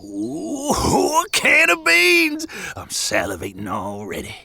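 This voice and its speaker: An actor playing a sly, florid hobo boss in an audio drama, with a rough voice and folksy, mock-fancy wording. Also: male voice, high voice, huge pitch swings, slow, pushed and bright, heavily acted. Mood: delighted, expansive. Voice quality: gravelly, rough. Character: sly, florid older hobo presiding over a stew pot.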